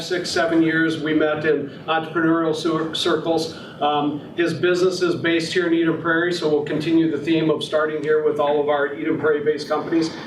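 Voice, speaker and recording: A man hosting a business event, introducing the next speaker in a friendly, upbeat way. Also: a little high. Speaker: event host. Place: event room with audience. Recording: echoey room, speaker far from mic.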